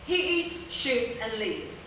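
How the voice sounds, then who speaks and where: A woman's voice: on the high side, very animated, slow pace, bright, forceful tone, full character voice. A woman playing a bookish, earnest professor, explaining things dryly in a live comic radio play. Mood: dryly pedantic. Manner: pedantic, explanatory, dry. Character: bookish female professor, dryly pedantic, earnest about monster science. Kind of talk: live radio theatre comedy acting. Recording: roomy live theatre, distant mic, noisy.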